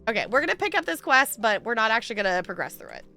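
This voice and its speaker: A woman's voice: on the high side, very animated, very fast, gravelly, sharp tone, faint music behind. A woman game streamer chatting casually with her viewers, rambling a little and laughing easily. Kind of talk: casual live-stream chat while gaming.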